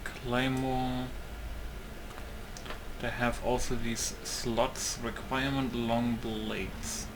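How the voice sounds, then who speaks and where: A man giving a casual let's play commentary, thinking aloud in a halting way. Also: mid-range voice, level tone. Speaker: gaming YouTuber. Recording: clear game noise behind. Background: video game ambience.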